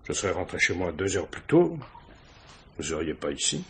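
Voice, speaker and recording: A man's voice: low voice, big pitch swings, moderate pace, fairly bright tone, a little hiss or hum, full character voice. An actor playing an irritable, uneasy male suspect in a 1950s-style French radio mystery, curt and indignant, stammering through his evasions. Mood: irritated, defensive.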